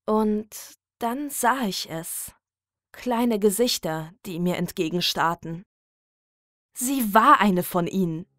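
A woman narrating a scripted first-person horror story in a measured, dramatic manner. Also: mid-range voice, expressive, a bit bright, dry recording, studio quality.

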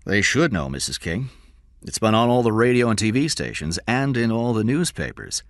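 Man voicing a blunt, calm police detective. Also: on the low side, no echo, clean studio sound, pitched above the performer's own voice. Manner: measured, matter-of-fact.

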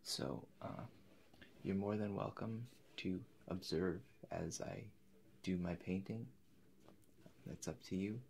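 A man in his twenties in a soft-spoken ASMR roleplay as an eccentric, polite painter, halting and slipping into whispers. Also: sits low, creaky, a little hiss or hum. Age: 20s. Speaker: ASMR performer. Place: indoors in front of a forest backdrop.

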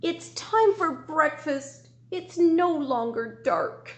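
Woman as a high, whining grumpy farm animal. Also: expressive. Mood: grumpy, demanding. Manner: loud, whining, demanding, drawn-out. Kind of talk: storybook character reading for children.

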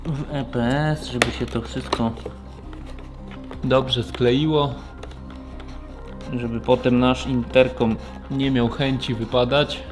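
A man's voice: mid-range voice, little pitch movement, takes it slowly, pauses often, soft background music. A man gives a casual hands-on tutorial as a technician, explaining step by step in a plain, practical way. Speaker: motorcycle shop technician demonstrating installation.

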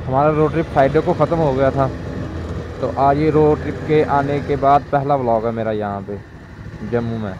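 A man's voice: medium pitch, steady tone, moderate pace, lo-fi sound. A man chatting casually to viewers as he vlogs from a moving motorbike. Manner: chatty, informal, addressing viewers. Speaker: motovlogger. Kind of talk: casual vlog chat while riding. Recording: loud wind and traffic noise. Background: engine, wind and road traffic.

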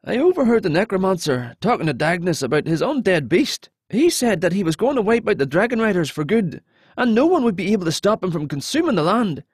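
A man's voice: high voice, big pitch swings, brisk, slightly rough, no echo, clean studio sound, voice pushed up, exaggerated voice. A man voicing a frightened, remorseful male deserter in an audiobook, earnest and pleading.

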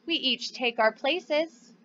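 Casting: young female children's teacher, high-pitched, unhurried delivery, encouraging manner. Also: a bit bright, dull audio. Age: young adult. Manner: encouraging, addresses young children simply and clearly. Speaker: preschool teacher. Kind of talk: children's lesson and storybook read-aloud.

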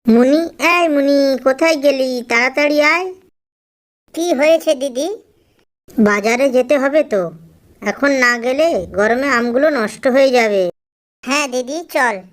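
Children's cartoon heroine, woman performer as a caring little female bird, high voice, earnest and emotive. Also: measured pace, pauses often. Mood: brisk. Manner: earnest, emotive storybook delivery. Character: caring, earnest young female bird, two devoted sisters.

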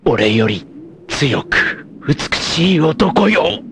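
A treacherous, self-adoring male martial-arts villain from an anime. His delivery is theatrical and haughty, swinging widely in pitch and lingering over words at a slowish pace. Faint noise sits behind the voice. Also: very animated, exaggerated voice. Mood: anguished, wistful. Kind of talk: dramatic anime voice acting.

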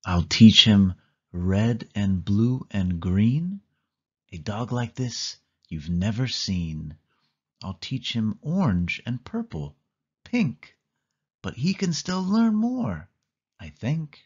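A man reading a children's picture book aloud in a slow, sing-song storytelling manner. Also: fairly low pitch, very animated, a bit bright, slightly rough, muffled recording. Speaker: storybook reader. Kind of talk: children's read-along narration.

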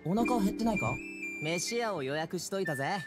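Brisk, brash young game hero. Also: male voice, high voice, expressive, loud music behind the voice. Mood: friendly, inviting. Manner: brash, friendly, confident. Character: cheerful, boastful young male lion-dance escort fighter. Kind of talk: Japanese anime game trailer acting.

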